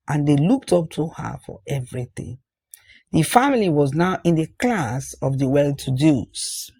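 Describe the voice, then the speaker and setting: A storyteller narrating a scripted folktale, phrasing things deliberately and repeating for emphasis. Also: medium pitch, vocal fry, darkish tone, no echo.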